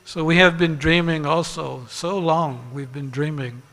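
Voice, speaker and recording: A man giving a religious sermon as a monk and teacher, deliberate, pausing and repeating phrases for emphasis. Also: fairly high pitch, fairly even intonation, a bit bright. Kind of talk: sermon with parables and rhetorical questions. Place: large reverberant temple hall. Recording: long hall reverb.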